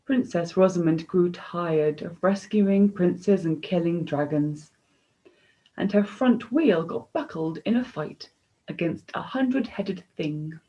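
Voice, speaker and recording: A woman's voice: lowish voice, takes it slowly, warm tone. A woman storyteller reading a children's story expressively, in a slightly dull, lo-fi recording.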